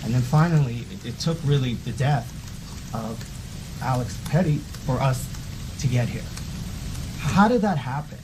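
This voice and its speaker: A man questioning someone in a broadcast interview with deliberate, measured phrasing. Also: medium pitch, expressive. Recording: clearly noisy ambient background.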